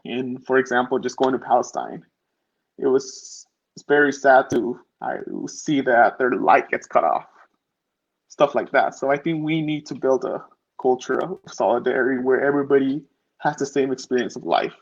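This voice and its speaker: A man's voice: medium pitch, moderate pace. A man speaking as a panel guest in a casual, informal way, often saying "like". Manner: informal, uses "like" as filler. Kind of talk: casual discussion. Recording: dull lo-fi audio.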